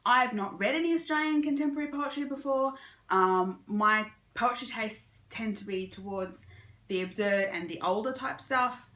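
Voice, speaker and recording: A woman's voice: a little high, moderate pace, bright, forceful tone, reverberant. A woman chatting casually and informally as she reviews books, giving her opinions freely. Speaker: book reviewer and vlogger. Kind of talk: casual monthly reading wrap-up chat.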